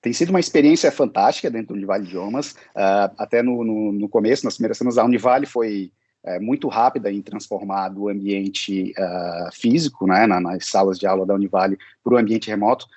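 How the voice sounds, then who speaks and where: A man's voice: fairly low pitch, fairly quick, a little mellow, breathy edge, dry recording. A man teaching English, answering interview questions in a hesitant way, with many fillers and restarts.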